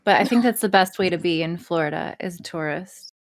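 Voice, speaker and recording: A woman with a fairly low voice talks in loose, casual podcast banter. She jokes as she goes and swears freely. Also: fairly quick. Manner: frequent swearing, joking. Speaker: podcast guest, audio performer.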